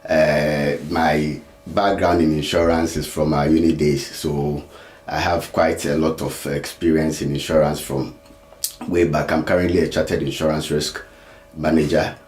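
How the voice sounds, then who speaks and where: A man who is an insurance consultant guest on a talk show, speaking in a measured way with frequent fillers. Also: a bass voice, little pitch movement, darkish tone, echoey room. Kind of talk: studio talk show interview.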